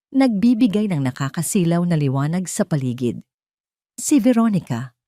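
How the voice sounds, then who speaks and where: A Filipino woman narrates a scripted drama in a fairly low voice. Her delivery is solemn and theatrical, as in an audio storybook. The sound is very dry, with no room echo. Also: dark tone.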